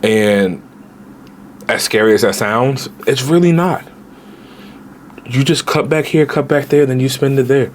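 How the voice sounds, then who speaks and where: A man in his late twenties, a travel vlogger giving casual, animated budget travel advice straight to viewers, with slang. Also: mid-range voice, a little slow, long pauses, slight background noise. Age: 20s.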